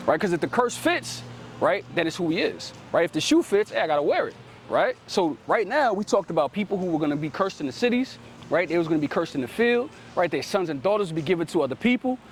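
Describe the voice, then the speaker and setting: A man's voice: sits high, big pitch swings, fast, slight background noise. A man preaching outdoors in street teaching style, ending nearly every sentence with "right?" and telling a helper to read. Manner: commanding, calls on the reader to read. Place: outdoors in a city.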